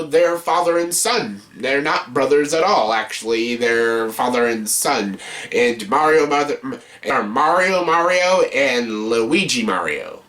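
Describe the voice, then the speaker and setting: A man's voice: medium pitch, sharp tone. A man giving a casual ranked review rant as a video reviewer, blunt, exasperated and swearing heavily.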